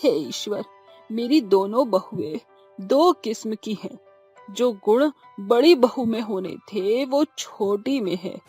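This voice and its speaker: A woman voicing a stern, weary older mother-in-law with a husky voice in a cartoon drama. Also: a little high, takes it slowly, darkish tone, faint music behind. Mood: weary, troubled. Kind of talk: Hindi cartoon drama acting.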